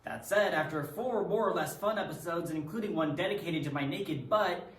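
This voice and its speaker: A man's voice: fairly high pitch, moderate pace, lightly acted. A man performing in a readers theatre piece with rehearsed, theatrical delivery. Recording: echoey room, distant from microphone.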